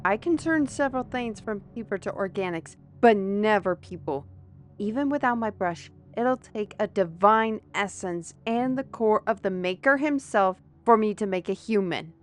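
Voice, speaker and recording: A woman's voice: mid-range voice, lively intonation, normal speed, faint music behind, close to an everyday voice. A woman voicing a frank, defensive magical artist.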